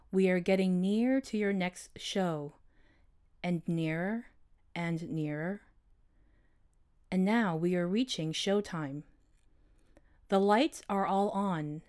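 A man voicing a gentle, wise female owl hypnotist in a storybook, with a soft, smooth, calm and soothing delivery. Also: high-pitched, pitched above the performer's own voice. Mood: soothing, encouraging. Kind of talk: storybook character voice.

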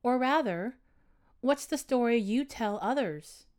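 A woman voicing a calm, kindly female owl, a gentle and sympathetic listener in a storybook tale. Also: a little high, clean tone, pitched above the performer's own voice. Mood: calm, curious. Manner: soothing, sympathetic, unhurried. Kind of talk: acted storybook character dialogue.

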